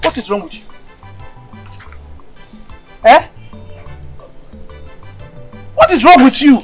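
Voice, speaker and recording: A stern, prying mother, played by a woman, pressing her daughter with question after question. Her voice sits a little high, and the sound is dull and lo-fi. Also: expressive, moderate pace, faint music behind, close to an everyday voice. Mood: probing. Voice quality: probing, insistent.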